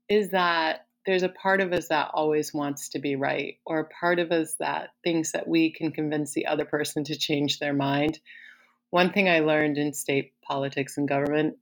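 A woman co-hosting a podcast in casual conversation, opinionated and often laughing as she talks. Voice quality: deep voice for a woman. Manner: opinionated, laughs while talking. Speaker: podcast co-host.

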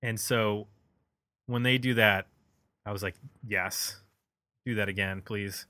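A man with a bright, forward voice at an everyday middle pitch. He talks casually like a music podcast host, working out his thoughts aloud, and the sound is close and dry. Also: steady tone, slow, no echo. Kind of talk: casual album discussion.

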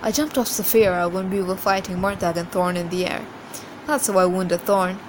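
A cocky young warrior played by a woman shows off with competitive mock pride in a storybook reading. There is clear noise behind the voice. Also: medium pitch, steady tone, moderate pace, natural voice. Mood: rueful pride. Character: boastful young male warrior comparing battle bruises.

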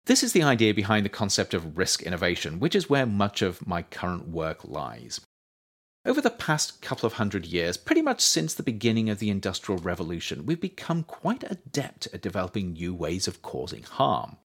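A man reading his own nonfiction book aloud as scripted audiobook narration, with measured, emphatic phrasing. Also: low voice, wildly swooping pitch, breathy edge.

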